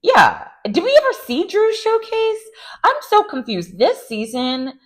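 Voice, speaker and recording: A woman giving a casual, opinionated recap of a reality TV show, chatty and animated, with sarcastic asides. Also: extremely high pitch, a little slow. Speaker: recap vlogger.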